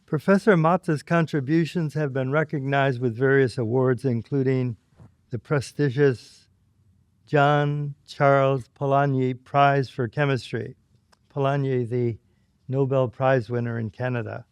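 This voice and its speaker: An older man hosting a studio interview, formally introducing his guest in a measured, deliberate way at a boom microphone. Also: fairly even intonation, clean tone, dry recording. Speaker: host. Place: dark studio. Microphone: boom microphone on arm.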